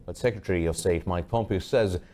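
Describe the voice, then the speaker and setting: A man reading a scripted news bulletin as anchor, formal and measured. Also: low-pitched, warm tone.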